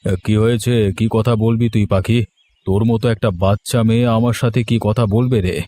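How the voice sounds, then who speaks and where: Man acting a brusque, dark-voiced village headman. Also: on the low side, steady tone, fairly quick, no echo, natural voice. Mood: dismissive. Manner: brusque, dismissive. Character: wealthy, brusque, hard-bargaining village headman uncle. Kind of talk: Bengali children's cartoon story dialogue. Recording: music playing under the voice.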